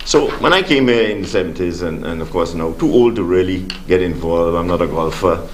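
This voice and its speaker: A man's voice: low-pitched, animated, fairly quick, a little hiss or hum. An older Caribbean man born in Grenada, a studio guest telling his life story in an easygoing way with many fillers. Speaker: studio guest, sports official. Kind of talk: relaxed interview answers.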